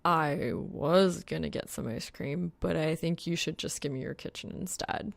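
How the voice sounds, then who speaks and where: Woman doing a young gamer dude, grumpy n blunt, creaky voice, audiobook dialogue, dry sound. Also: sits low, moderate pace, no echo. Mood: casual. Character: Soul, young male gamer, scowling, food-obsessed slacker.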